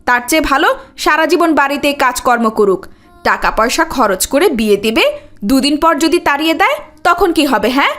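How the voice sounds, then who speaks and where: A woman voicing a scornful middle-aged stepmother in an Islamic cartoon, nagging with sharp rhetorical questions. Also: sits high, expressive, normal speed.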